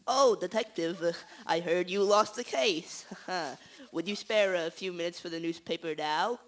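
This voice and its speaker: A woman's voice: on the low side, measured pace, natural voice. Woman acting an eager, nosy newspaper reporter. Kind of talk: amateur radio drama acting.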